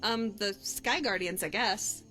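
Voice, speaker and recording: A woman's voice: mid-range voice, measured pace, bright, forceful tone. An actress voicing a young woman adventurer and hunter, a guilt-haunted but bold companion in a fantasy game, speaking in a natural, conversational way. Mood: confident, reassuring. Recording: music under the voice.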